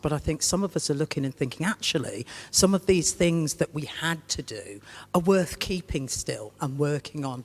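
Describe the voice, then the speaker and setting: A woman's voice: sits low, darkish tone. A woman who is a church warden, answering on a panel in an earnest, plain-spoken way. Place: large parish church. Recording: long reverb of a big church.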